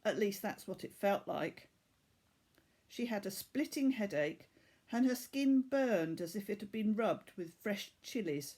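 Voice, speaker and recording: A woman narrating a children's story aloud like a teacher, measured and expressive. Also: mid-range voice.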